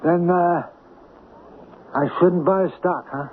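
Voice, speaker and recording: An actor playing a stubborn, doubting small-time hustler with an American accent and slangy talk, in an old-time radio drama. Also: male voice, sits high, fairly even intonation, slow. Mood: doubtful. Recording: tinny, noisy 1970s radio broadcast.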